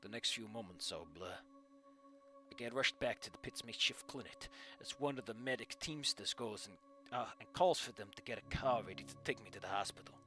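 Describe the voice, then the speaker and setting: Bright-voiced man reading aloud with jokes. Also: fairly low pitch, animated, a little fast, soft background music. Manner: casual, joking, swears often. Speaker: fan fiction reader. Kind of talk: reading a story aloud live with commentary.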